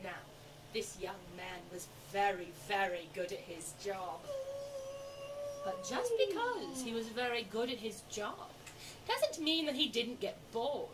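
A woman playing a sentimental, theatrical female storyteller in a children's show, with dramatic, deliberate, drawn-out phrasing. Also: fairly high pitch, very animated. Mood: storytelling. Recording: distant mic, faint background noise.

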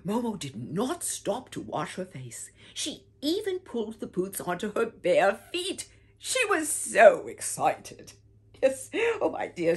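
Older woman storyteller, very husky and breathy, slow and swooping, theatrical read-aloud. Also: a little mellow. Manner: theatrical, swoops high and low, whispers often, calls listeners "my dears". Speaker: storybook reader. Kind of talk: expressive read-aloud for children. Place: home room with bookshelf, indoors.

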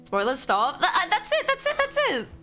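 A woman's voice: extremely high pitch, wildly swooping pitch, brisk, bright, forceful tone. A woman in her twenties streaming a game, chatting casually, swearing and laughing a lot. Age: 20s. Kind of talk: casual live commentary over a game. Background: game music and dialogue.

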